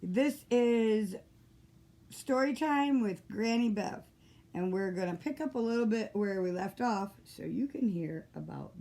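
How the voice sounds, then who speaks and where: A woman's voice: mid-range voice, warm tone. A woman hosting a casual storytelling chat, prompting and responding to the storyteller.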